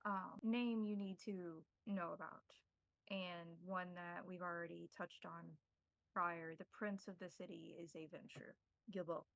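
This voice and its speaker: A woman running a tabletop roleplaying game as game master, narrating in a measured, formal way with deliberate wording. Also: on the low side, fairly even intonation, gravelly, fairly bright tone, no echo, low-quality recording.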